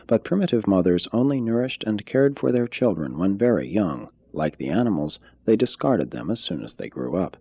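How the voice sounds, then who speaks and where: A man reading a formal text aloud as scripted narration, in a measured, deliberate way. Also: lowish voice, sing-song, warm tone, breathy edge, no room sound, dull audio. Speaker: audiobook reader.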